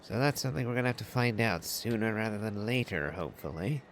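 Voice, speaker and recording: A man giving casual game commentary in a musing, measured, wordy way. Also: lowish voice, lively intonation, slight background noise. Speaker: game streamer.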